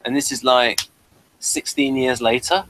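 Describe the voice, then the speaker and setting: Guy hosting a chatty review, mid pitched, kinda rambles while explaining stuff. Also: fairly even intonation, unhurried, a bit bright, slight background noise, poor audio. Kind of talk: casual review chat.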